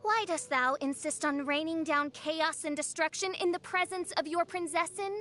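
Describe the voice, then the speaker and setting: An actress voicing a theatrical young self-styled princess in an anime game, speaking in pompous, archaic, melodramatic phrases. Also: female voice, extremely high pitch, moderate pace, fairly bright tone, a little hiss or hum. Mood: indignant. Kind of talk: anime game character voice lines.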